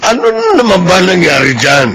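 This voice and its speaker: A middle-aged man playing a worried, exasperated uncle in a classic radio drama, with a gravelly voice. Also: on the high side, very animated, normal speed, very noisy. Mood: alarmed. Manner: exasperated, scolding. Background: loud drama music.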